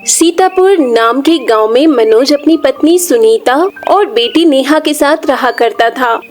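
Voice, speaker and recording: High-voiced woman narrating a children's story. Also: expressive, measured pace, faint music behind.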